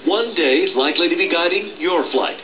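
A man's voice: high voice, expressive, normal speed, over music. A middle-aged American man reporting as a television news correspondent. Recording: dull roomy TV sound filmed off screen, noisy.

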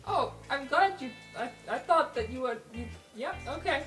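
A woman's voice: sits high, animated, moderate pace, music in the background. A woman playing a tabletop game, improvising casually in character.

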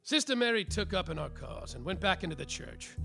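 Man playing bumbling private detective, melodramatic, lively intonation, creaky voice, noir comedy radio play, music behind. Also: high voice, a little fast, exaggerated voice. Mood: dry narrating. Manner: melodramatic, self-important. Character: world-weary, semi-sober, bumbling hard-boiled private detective. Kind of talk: noir parody narration and radio acting.

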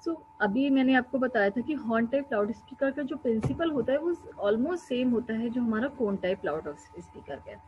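Young female lecturer, Indian accent, fairly high voice, explanatory classroom delivery, slightly noisy recording. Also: lively intonation, moderate pace, hardly any pauses, darkish tone. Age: young adult. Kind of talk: explanatory classroom lecture mixing Hindi and English. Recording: dull lo-fi webcam audio with faint hum.

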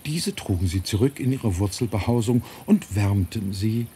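Mellow, low-voiced male storybook narrator. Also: animated, normal speed, slight background noise. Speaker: audiobook narrator. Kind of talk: scripted children's storybook narration. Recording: nature ambience and birdsong behind voice. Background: birds, forest ambience.

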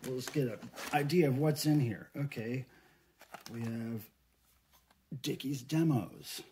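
A man's voice: mid-range voice, slow. Middle-aged American man, noisy casual vlog. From United States. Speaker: vlogger, record collector and fan site manager. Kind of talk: casual unboxing vlog talk. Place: small indoor room at home.

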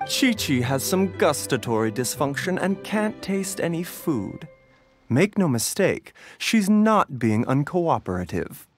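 A man voicing a courteous young herbal doctor in a game, speaking in a measured, scholarly, polished way. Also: fairly high pitch, sing-song, faint music behind. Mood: explanatory, calm. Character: genteel, soft-spoken young male herbal doctor. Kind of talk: polished game dialogue acting.

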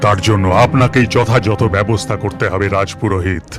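Man playing a low-voiced emotional king. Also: steady tone, brisk, lightly acted. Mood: resolute. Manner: stately, emotional, dramatic. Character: anxious, protective king and doting father. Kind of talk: dramatic cartoon fairy-tale acting. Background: music.

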